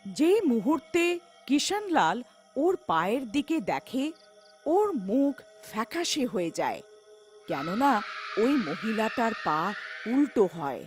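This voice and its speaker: A woman's voice: a little high, sing-song, unhurried, faint music behind. A woman narrating a scripted children's horror folktale as the storyteller.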